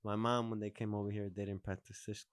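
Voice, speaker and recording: A man's voice: lowish voice, little pitch movement, fast talker, clear, resonant tone, no echo, studio quality. A man in his twenties hosting a podcast, chatting casually, rambling and restarting his sentences. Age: 20s. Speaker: podcast host. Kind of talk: casual chat.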